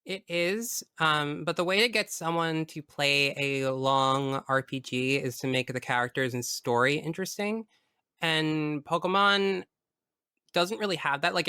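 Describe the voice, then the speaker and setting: A man's voice: fairly high pitch, sharp tone, clear, resonant tone, no room sound, studio quality. A man speaking as a podcast guest, chatting casually about video games in a frank, geeky way.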